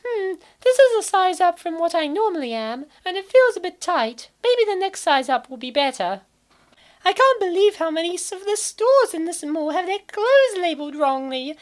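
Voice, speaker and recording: A woman acting naive college girl, very high voice, lively swings, theatrical comic-dub delivery. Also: normal speed. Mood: puzzled, indignant. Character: cheerful naive college woman narrating her weight gain.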